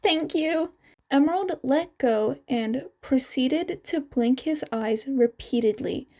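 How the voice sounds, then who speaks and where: Slow-paced woman reading a story. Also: high-pitched, dark tone. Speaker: podfic narrator. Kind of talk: scripted storytelling narration, reading fan fiction aloud.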